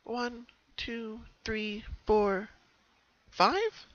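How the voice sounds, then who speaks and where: A man's voice: very high voice, extremely slow, a bit bright, no echo, lo-fi sound, voice pushed up, full character voice. A man voicing an anxious, earnest young female cartoon pony in an emotional, pleading manner. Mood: puzzled.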